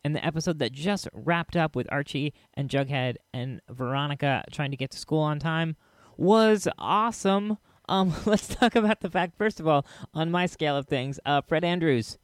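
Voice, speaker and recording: A man hosting a solo podcast in a casual, halting and enthusiastic way. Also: a little high, dry recording, clean studio sound. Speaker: podcast host.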